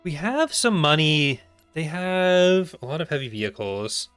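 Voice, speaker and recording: A man's voice: fairly high pitch, lively intonation, unhurried, a bit bright, clear, resonant tone, faint music behind. A man hosting a gaming video with casual, rambling, wryly humorous playthrough commentary.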